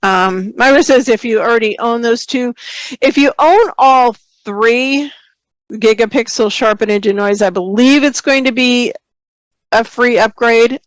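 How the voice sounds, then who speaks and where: A woman hosting a software webinar, slightly hoarse, explaining steps in a relaxed way as she works and reading out viewer questions. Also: a little high, unhurried, no echo, poor audio. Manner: relaxed, explains while working, reads viewer questions aloud. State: slightly hoarse, clearing throat. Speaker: photography webinar host. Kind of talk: screen-share software tutorial.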